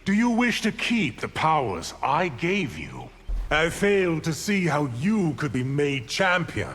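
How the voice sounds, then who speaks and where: An actor playing an arrogant, scheming, cold sorcerer villain in a video game, with a haughty, theatrical, menacing delivery. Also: male voice, fairly high pitch, sing-song, measured pace, fairly bright tone, husky, long reverb tail, faint music behind, full character voice.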